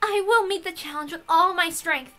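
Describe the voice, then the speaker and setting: A dutiful lady attendant played by a woman reader, formal and deferential. The voice is high and bright, fit for a visual novel servant. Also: normal speed, soft background music. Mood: solemn.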